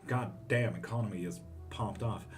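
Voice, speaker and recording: A man's voice: on the low side, soft background music. A man from Greece, hosting a live tarot stream and reading out viewer comments in a loose, profane, chatty way. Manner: relaxed and profane, with quick laughs.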